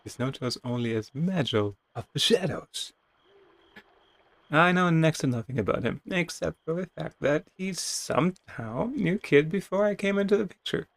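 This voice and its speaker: Male game streamer doing a playful dramatic read of story text; fairly high pitch, very dry recording. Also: expressive, normal speed, gravelly, no echo. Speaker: video game streamer reading game text aloud. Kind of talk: dramatic reading of game narration with casual asides.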